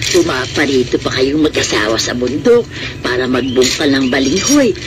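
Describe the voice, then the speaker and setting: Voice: mid-range voice, expressive, normal speed, close to an everyday voice. A performer playing an outspoken, nosy Filipino village friend in a classic radio drama, prodding and exclamatory. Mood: prying. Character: nosy, outspoken provincial woman friend, indignant on another's behalf. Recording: noisy outdoor ambience in radio drama.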